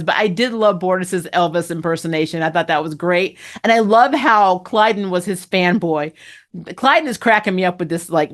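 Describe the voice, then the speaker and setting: A woman hosting a fan review, casually recapping a TV show with chatty, slangy, gushing enthusiasm and plenty of opinion. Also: lowish voice. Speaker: host of a fan TV review channel.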